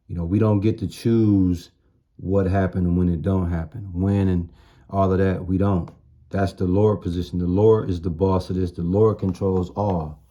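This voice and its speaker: A man's voice: low voice, mellow, highs cut off. A man giving an informal sermon in blunt, streetwise slang, repeating phrases for emphasis. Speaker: street-style preacher.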